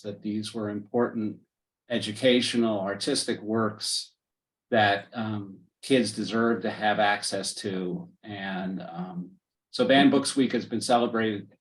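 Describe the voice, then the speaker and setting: A man on a panel, his voice low and steady as he explains. He sits some distance from the microphone, so he sounds a little removed. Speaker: guest panelist. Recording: distant from mic.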